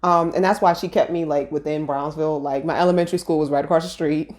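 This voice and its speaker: A woman's voice: low-pitched, steady tone, quick pace, darkish tone. A young woman from Brooklyn, telling her story casually as an interview guest, laughing often. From Brooklyn, New York. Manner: laid-back, chatty, laughs often.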